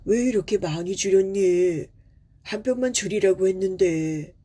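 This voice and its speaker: Woman acting as middle-aged village dad, gentle and proud, hearty, very soft-toned, storybook. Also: medium pitch, measured pace, breathy edge. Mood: puzzled.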